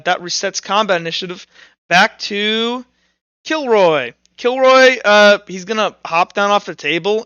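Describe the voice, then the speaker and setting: High, bright-voiced male game master. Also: clean tone, dry recording, muffled recording. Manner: informal, thinking out loud. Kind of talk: improvised tabletop game narration and rules talk.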